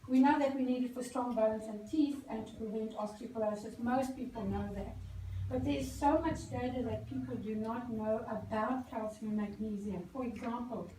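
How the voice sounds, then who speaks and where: A South African woman with a slightly high voice talks informally to an audience, explaining as she goes and often pausing on "um". She is picked up from well off the mic in an echoey indoor venue, with noticeable noise around her. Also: warm tone. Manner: conversational, explaining with many ums and self-corrections. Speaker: speaker at a celebration event. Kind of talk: informal talk to a live audience. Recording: echoey room, mic far off, noisy.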